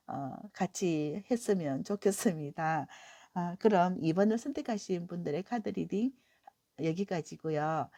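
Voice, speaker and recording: Hoarse woman reading tarot. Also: fairly low pitch, dry recording. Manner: gentle, encouraging, many "eo" fillers. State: hoarse from a cold. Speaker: tarot reader. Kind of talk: weekly tarot reading to viewers.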